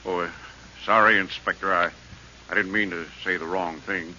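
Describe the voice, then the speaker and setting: Meek sorry-sounding cop underling, sharp edgy voice, muffled old radio play. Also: male voice, low voice, fairly even intonation, normal speed, heavily acted. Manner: hesitant, apologetic. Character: timid, deferential male police subordinate. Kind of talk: 1940s radio drama acting.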